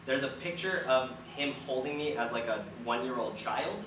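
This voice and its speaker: A young American man in his early twenties speaks in a casual, rambling way, like a student playwright on a panel. His medium-pitched voice is picked up from far off in an echoey room with clear background noise. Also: animated, moderate pace. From United States, Florida. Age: early 20s. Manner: rambling, informal. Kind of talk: casual panel storytelling and answers. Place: indoor room, public post-show discussion.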